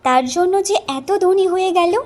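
High-pitched envious village wife, acted by a woman. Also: normal speed, a little mellow. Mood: curious. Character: envious, greedy younger village wife, curt and self-serving. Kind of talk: Bengali fairy-tale cartoon dubbing.

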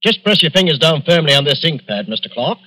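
Dutiful policeman, sharp, husky, matter-of-fact, vintage radio drama. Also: a little high, big pitch swings, quick pace, heavily acted. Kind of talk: 1940s radio acting.

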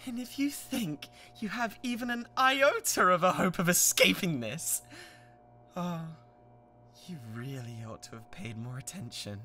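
Unhinged British yandere classmate, wildly swooping voice. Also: female voice, on the low side, lively intonation, takes it slowly, long pauses, a little hiss or hum, exaggerated voice. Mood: menacing. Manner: theatrical, swinging between hushed coaxing and manic intensity. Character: obsessive, unhinged young yandere classmate, possessive and menacing. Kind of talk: dramatic ASMR roleplay monologue.

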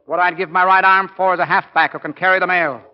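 An American actor playing a brisk, wry, commanding college football coach in a 1940s radio drama. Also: male voice, high-pitched, fairly even intonation, lightly acted. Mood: wistful. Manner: brisk, wry, barking orders. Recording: dull lo-fi 1940 radio recording.

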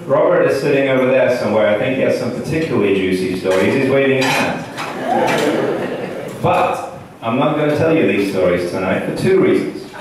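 A man in his thirties whose first language is Russian, giving a joking best man toast from notes into a handheld mic with a deadpan delivery and pauses for laughs. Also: mid-range voice. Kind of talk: wedding toast read from notes. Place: indoor banquet hall. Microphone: handheld mic, filmed by a far camera in the audience. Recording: echoey hall from far off, audience noise.

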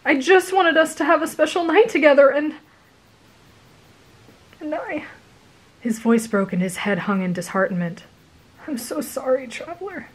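A woman voicing a flustered, earnest teenage boy who stammers and panics in a high, strained voice. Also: sing-song, normal speed, darkish tone, breathy edge. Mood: heartbroken. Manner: frantic, stammering, apologetic.

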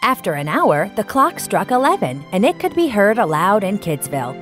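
Animated woman narrating a children's story. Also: medium pitch, moderate pace. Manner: expressive, clearly enunciated storytelling. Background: background music.

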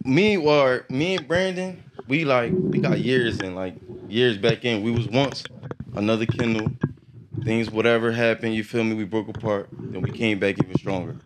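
A man's voice: mid-range voice, normal speed. A man from Philadelphia chatting casually as a guest on a group podcast in a lounge. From Philadelphia, United States. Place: lounge with several people on couches. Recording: echoey, distant, noisy room sound.

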